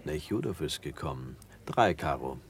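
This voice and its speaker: An actor playing a sly, gossiping high-society card player in a classic German radio play. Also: male voice, very animated, moderate pace, heavily acted. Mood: sly, amused. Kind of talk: mid-century German radio play acting.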